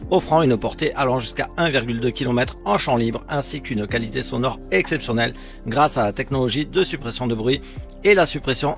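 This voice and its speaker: Man narrating a product review over music. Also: medium pitch. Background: background music.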